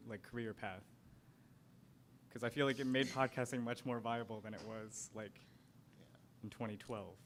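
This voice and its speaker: A young man moderating a panel, asking questions in a hesitant, searching way. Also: a bit bright. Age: young adult. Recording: rumbly background noise.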